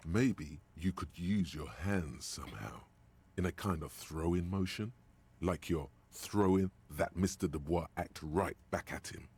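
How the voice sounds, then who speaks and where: A man's voice: sits low, a little slow, a little mellow, slightly rough, exaggerated voice. A man voicing the grave, taunting inner voice of a detective's mind in a video game.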